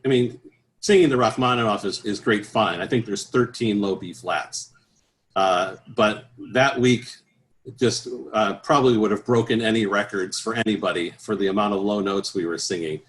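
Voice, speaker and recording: Low-voiced easygoing man, halting speech. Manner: easygoing, many restarts and "uh"s, chuckles at his own stories. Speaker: choral bass singer, guest. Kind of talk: relaxed interview answers.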